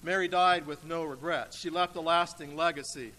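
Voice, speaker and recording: A man delivers a sermon in a bright, forceful voice pitched high for a male speaker. He phrases deliberately and emphatically, leaving dramatic pauses. The church hall adds long reverb, with faint noise underneath. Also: fairly even intonation. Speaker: preacher. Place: church sanctuary, indoors.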